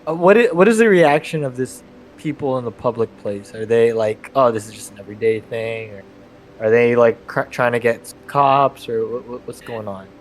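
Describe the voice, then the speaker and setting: A man casually narrating his character's moves as a tabletop player, halting as he searches for words. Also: mid-range voice, animated, slight background noise.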